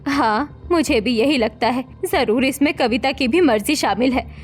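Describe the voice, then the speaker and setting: A woman playing a stern, suspicious middle-aged mother-in-law in an animated family melodrama, sharp-tongued and accusing. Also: high-pitched, normal speed, faint music behind, natural voice.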